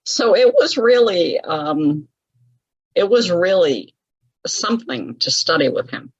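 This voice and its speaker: Husky-voiced woman telling her story. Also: on the low side, no echo, lo-fi sound. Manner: chatty, laughs often, lively retelling of her own past. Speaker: interview guest, author. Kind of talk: casual storytelling in an interview.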